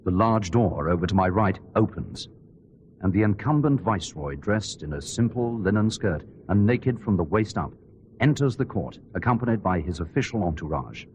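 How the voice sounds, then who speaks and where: A man plays a solemn court reporter in a radio drama, commentating live on a royal ceremony. His voice is low and his delivery measured and reverent. Clear ambient noise runs behind him. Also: expressive, darkish tone, poor audio. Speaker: outside-broadcast commentator.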